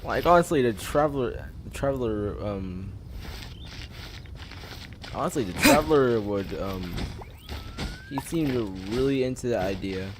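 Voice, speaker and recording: A man's voice: medium pitch, animated, measured pace, pauses often, very noisy. A man giving casual, rambling reaction commentary as he streams a game, often repeating himself. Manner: repetitive, rambling. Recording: loud game music behind stream voice.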